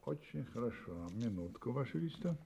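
A shrewd private detective in an audio drama. His medium-pitched voice moves through wide swings of intonation. He probes with composure and adds small ironic interjections. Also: sing-song, vocal fry, background noise. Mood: businesslike, courteous. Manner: probing, unhurried, ironic interjections. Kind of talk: Russian audio drama acting.

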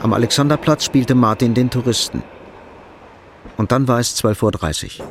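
A man's voice: mid-range voice, normal speed, background noise. A man reading scripted literary narration as the narrator of a radio drama.

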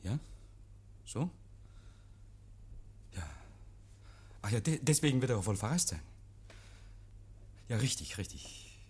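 Nervous guilty guy in an old radio drama, husky, pitch all over, stammers, fake friendly. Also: animated, slow pace, long pauses. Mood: evasive, flustered. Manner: stammering, repeating words, swinging between hushed and agitated. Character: nervous, guilty man feigning jovial innocence to police. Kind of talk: 1950s German radio play acting. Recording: vintage 1950s radio recording.

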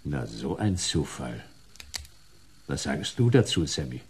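Low, husky, sardonic detective. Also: male voice, big pitch swings, slow, a little mellow, exaggerated voice. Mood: dry, needling. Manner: dry sarcasm, curt. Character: sardonic, hard-boiled private detective, streetwise man. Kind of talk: German sci-fi crime radio play acting.

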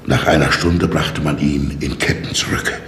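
A fussy, apologetic prison warder in an old radio play, rambling and flustered. His voice is extremely low with a gravelly creak, and it rings with long reverb. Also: fairly even intonation, moderate pace, fairly bright tone. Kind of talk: 1960s German radio drama acting. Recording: echoey stone-walled reverb, noisy old radio recording.

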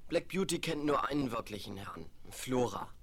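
An animated, eager teenage boy. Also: medium pitch, normal speed, slightly rough. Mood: impressed, subdued. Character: George, eager teenage boy home from boarding school. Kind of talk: 1970s German children's radio play acting. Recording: crackly 1970s vinyl rip with clicks.

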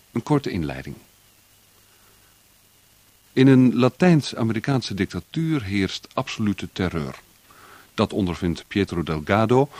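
A man announcing a radio play, reading a formal, measured scripted introduction close to a studio microphone. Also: low-pitched, no room sound.

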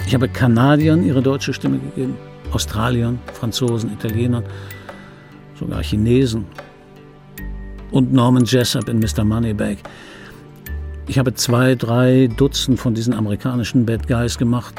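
An actor playing an embittered, wistful dubbing actor in his fifties, delivering a dry, brooding radio play monologue in a husky voice. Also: medium pitch, fairly even intonation, normal speed, pauses often, a little mellow, faint music behind, lightly acted. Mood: wistful, defensive. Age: 50s. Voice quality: husky, gravelly.

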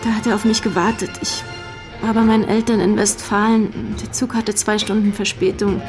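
A young actress playing an emotional, defensive young lover being questioned in a German radio crime drama, often hesitating. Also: female voice, mid-range voice, little pitch movement, moderate pace, music in the background, lightly acted. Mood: uneasy. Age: young adult. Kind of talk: German radio play acting.

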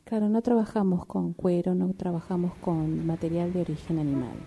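A woman's voice: lowish voice, a little fast, breathy edge. A woman with an Argentine accent playing a blunt, impatient, unhelpful shoe saleswoman in an improvised radio theatre scene. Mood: deadpan. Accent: Rioplatense Argentine Spanish. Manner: blunt, dismissive, deadpan. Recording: amateur workshop recording with faint room noise.

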